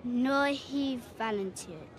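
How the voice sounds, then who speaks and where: A woman's voice: fairly high pitch, expressive, takes it slowly, slight background noise. A woman playing a plucky young girl street vendor in a video game, with an Indian accent and a cheeky, persistent haggling manner. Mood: confident, cheeky. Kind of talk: cinematic video game acting. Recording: clear outdoor street ambience behind.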